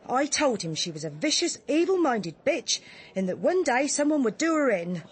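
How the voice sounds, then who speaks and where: A woman's voice: sits high, big pitch swings, normal speed. An actress playing a blunt, chatty English village woman in a radio drama, telling her account in colloquial, indignant style. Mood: indignant, relishing. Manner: colloquial, gossipy, indignant storytelling.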